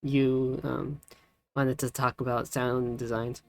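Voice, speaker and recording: A woman's voice: rumbling low, flat delivery, takes it slowly, a little mellow. A woman hosting a tutorial livestream in casual chat. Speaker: tutorial stream host.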